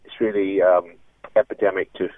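Deep-voiced Australian man on a phone line. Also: little pitch movement, no echo. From Melbourne, Australia. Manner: earnest, halting, many restarts. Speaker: guest comedian and impressionist. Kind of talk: casual interview. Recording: tinny dry phone line.

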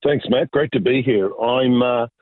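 Halting man, thin phone-like sound. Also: mid-range voice, no room sound. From Argentina, raised in Sydney. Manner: reflective, halting, many fillers and restarts. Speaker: guest, business pastor. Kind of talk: casual interview storytelling. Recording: dry, phone-like narrow sound.

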